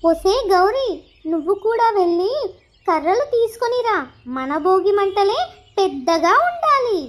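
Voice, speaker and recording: A woman's voice: extremely high pitch, very animated, measured pace, heavily acted. A woman voicing a bossy, competitive village mother-in-law in a cartoon moral story. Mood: commanding.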